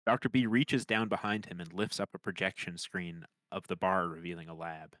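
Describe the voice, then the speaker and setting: Low male voice reading screenplay action. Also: steady tone, brisk, fairly bright tone, dry recording. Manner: reads out scene headings and action. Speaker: podcaster reading stage directions. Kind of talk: scripted narration at a table read.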